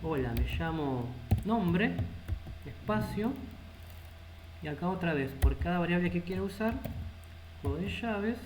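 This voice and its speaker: A man's voice: high-pitched, expressive, a little slow, pauses often. A man with a Rioplatense Spanish accent teaching a live coding lesson, thinking aloud as he types. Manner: thinks aloud while typing code. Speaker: programming instructor. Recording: echoey room with loud background noise.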